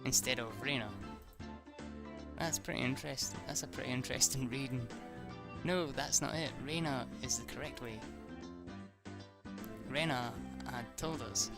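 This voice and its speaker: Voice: medium pitch, normal speed, long pauses. An amateur reader narrating a visual novel aloud, stumbling and self-correcting, with casual chatty delivery. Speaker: amateur reader, video host. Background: music.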